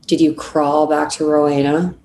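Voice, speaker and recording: A woman's voice: sits low, level tone, normal speed, mellow. A woman at a table read playing a teasing, frank young roommate, with faint noise behind. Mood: probing.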